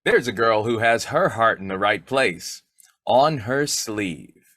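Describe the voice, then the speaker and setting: An actor playing a boyish, wheedling, wisecracking husband in a 1940s-style radio comedy, with a folksy, exclamatory delivery. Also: male voice, on the low side, animated, takes it slowly, a bit bright, no room sound, exaggerated voice. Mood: wry, teasing.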